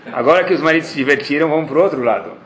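A man's voice: on the high side, racing, roomy sound. A man with a Brazilian Portuguese accent, a rabbi teaching a class to his students, telling stories and acting out the dialogue in them. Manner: animated storytelling, acts out quoted dialogue. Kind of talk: Torah class lecture.

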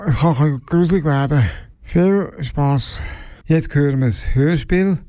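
A man introduces a radio play as its presenter. His voice sits fairly high and has a mellow, soft-edged tone, with a dry, close sound. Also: unhurried, no room sound. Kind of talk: spoken introduction.

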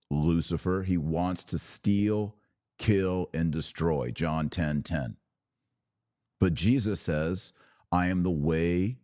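A man hosting a religious podcast, preaching in an emphatic, declarative way with deliberate pauses and repetition. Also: low voice, warm tone, dry recording, studio quality. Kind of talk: preaching monologue.

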